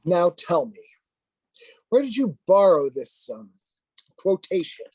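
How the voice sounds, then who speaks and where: A man's voice: high-pitched, sing-song, a little mellow, slightly rough, dry recording, full character voice, low-quality recording. Stern, dryly sardonic priest played by a man. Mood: probing. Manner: formal, measured, lecturing. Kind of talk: dramatized play script reading.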